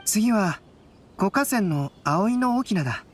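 A woman voicing a calm, thoughtful young male scholar in an anime-style game, composed and analytical. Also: medium pitch, slow, background noise. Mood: calm, assured. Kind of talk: anime game dialogue acting.

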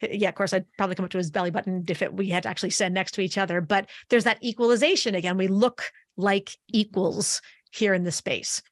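A woman in her forties hosting a podcast interview, chatty and lively, speaking into a boom mic while wearing headphones. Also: fast. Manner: energetic, talks with her hands, restarts phrases. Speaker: podcast host. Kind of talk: conversational interview. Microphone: boom mic on arm, wearing headphones.

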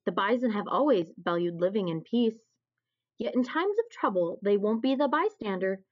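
A woman's voice: mid-range voice, lively intonation, normal speed, natural voice. A woman voicing an earnest male goose in a children's storybook. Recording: dull, lo-fi recording.

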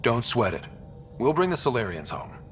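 Young sci-fi hero, plain talking and level-headed, lively pitch, some hall echo. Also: male voice, moderate pace, soft background music. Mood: reassuring. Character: earnest, level-headed young human male space explorer hero.

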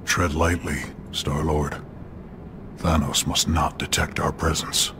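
A man's voice: rumbling low, level tone, takes it slowly, gravelly, background noise, heavily acted. A man voicing a big, literal-minded alien warrior in a video game, formal, grave and declamatory. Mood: grave, ominous.

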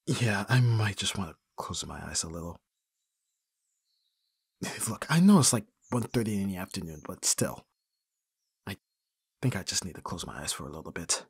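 Young male actor as flustered lovestruck best friend for ASMR roleplay: very husky, breathy, swinging pitch, halting. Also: very animated, no room sound, studio quality, exaggerated voice. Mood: weary, affectionate. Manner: hesitant, stammering, sighing delivery. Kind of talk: ASMR roleplay acting, intimate and conversational.

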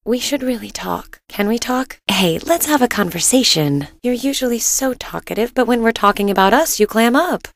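A woman's voice: medium pitch, gravelly, no echo, clean studio sound. An actress voicing a caring, exasperated wife and mother in a video game, speaking expressively and earnestly. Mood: gentle, earnest.

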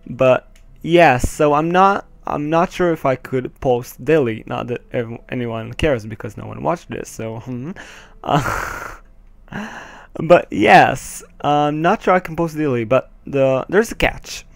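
A man's voice: medium pitch, takes it slowly, gravelly, no echo. A teenage boy from Israel with an Israeli accent, Hebrew his first language, hosting casual gameplay commentary through a headset, hesitant, with many ums and nervous laughs. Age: teens. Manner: hesitant, many ums, nervous laughs, swears when the game goes wrong. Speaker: gaming channel host.

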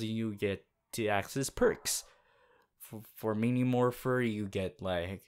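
A man making a casual channel announcement in an informal, halting way, as an audio creator. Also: mid-range voice, clean tone.

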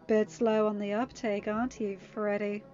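A woman's voice: mid-range voice, flat delivery, normal speed, mellow, muffled recording, soft background music, close to an everyday voice. A woman voicing a sly, menacing teenage sea witch in a story reading, taunting and snarling. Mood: sly, mocking.

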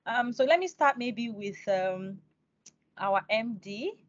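A woman's voice: a little high, lively intonation, slow, no echo, lo-fi sound. A young woman hosting an interview podcast over a video call. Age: young adult. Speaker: podcast host. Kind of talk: scripted introduction and interview questions.

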